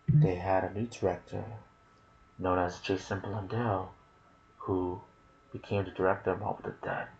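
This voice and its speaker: A man giving hushed ASMR gaming commentary, musing softly and often dropping toward a whisper. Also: low-pitched, slight background noise, rough recording. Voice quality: soft, breathy, partly whispered. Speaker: gaming video creator and host. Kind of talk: ASMR gaming commentary mixed with casual chat.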